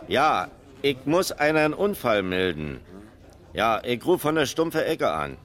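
An actor playing a flustered, plain-spoken pub landlord in a 1970s radio crime drama. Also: male voice, mid-range voice, sing-song, takes it slowly, a bit bright.

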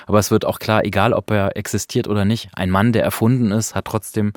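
Low-voiced man, close and dry. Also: steady tone, quick pace, no room sound. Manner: reflective, analytical, explanatory. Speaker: podcast host. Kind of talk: conversational discussion of radio drama.

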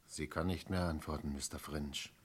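An actor playing a nervous neighbor who speaks hesitantly, in 1960s radio drama style. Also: male voice, extremely low pitch, steady tone, normal speed, breathy edge. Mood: uneasy. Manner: hesitant, quietly uneasy.

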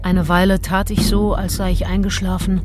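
Eine Schauspielerin spricht im literarischen Hörspiel eine verliebte, verletzte Tagebuchschreiberin, vertraulich und getragen von Leidenschaft. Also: female voice, medium pitch, little pitch movement, moderate pace, natural voice. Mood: intimate, confiding. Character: romantic, wounded, self-dramatising female diarist in love. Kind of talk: literary radio drama, first-person diary monologue. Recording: music behind the voice. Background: prominent music.

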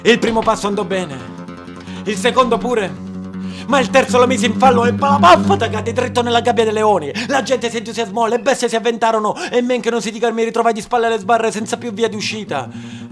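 A man's voice: very high voice, brisk, music in the background. A young man narrating a theatrical monologue, emphatic and dramatic, playing himself as a pleading young actor.

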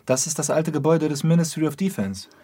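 Husky, dreamy aid worker. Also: male voice, fairly high pitch, animated, fast talker, warm tone. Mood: musing. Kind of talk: naturalistic radio drama dialogue.

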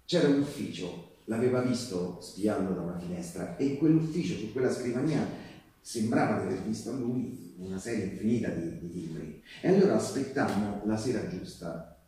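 Echoey, distant, mellow male partisan storyteller, acted by a man. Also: on the low side, animated, close to an everyday voice. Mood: hushed, suspenseful. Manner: conversational, halting, with rhetorical tags and repetitions. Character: earnest male Resistance partisan postal worker recounting wartime sabotage. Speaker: stage actor. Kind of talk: dramatic stage monologue, storytelling to a confidant. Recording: echoey live theatre, distant microphone.

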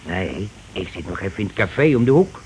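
An actor playing a coarse, cocksure scheming husband in a radio play, talking insistently and impatiently in colloquial speech. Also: male voice, low voice, sing-song, a little fast, darkish tone. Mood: scheming, bossy. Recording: noisy old radio drama recording.

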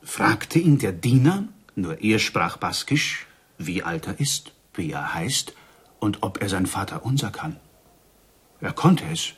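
An actor in a German radio drama playing an excitable villager who passes on wondrous rumours with suspense. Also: male voice, fairly low pitch, takes it slowly, breathy edge, exaggerated voice. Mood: hushed, wondering.

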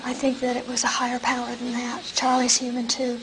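A woman in a reflective interview, recalling events haltingly as she searches for words. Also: on the high side, monotone, background noise.

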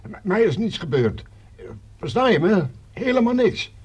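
Reassuring crash survivor, husky, slightly high voice, stammers. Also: male voice, sing-song, normal speed, a little mellow, heavily acted. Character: man calling home to say he is unhurt. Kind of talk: radio play acting.

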